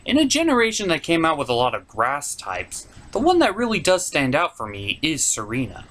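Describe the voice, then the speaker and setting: Animated man hosting a countdown, widely swinging pitch. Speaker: host of a top ten list show. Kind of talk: casual opinionated countdown commentary.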